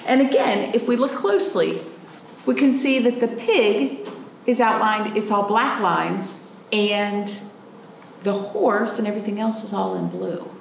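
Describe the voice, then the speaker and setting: A woman in her thirties, a children's librarian, reading a picture book aloud in an animated, playful way and asking the children questions. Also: medium pitch, creaky, echoey room, a little hiss or hum. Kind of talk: storytime read-aloud for children. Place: library room in front of a painted wall.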